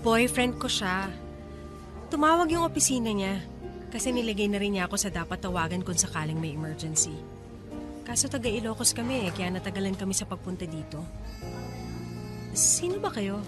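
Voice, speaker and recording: A woman's voice: medium pitch, lively intonation, a little fast, long pauses, over music, lightly acted. A woman playing a meek, earnest young provincial mistress and single mother in a Filipino radio drama. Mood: hesitant, earnest.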